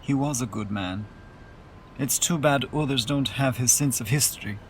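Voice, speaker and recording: A man playing a gentle, easygoing, wry older relative at a wake, in radio play dialogue. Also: medium pitch, moderate pace, a little hiss or hum, natural voice. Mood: reflective, gentle.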